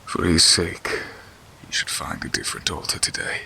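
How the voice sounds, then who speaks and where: A man's voice: normal speed, sharp tone, slight background noise. An actor playing a weary, grieving, commanding king in a fantasy audio drama.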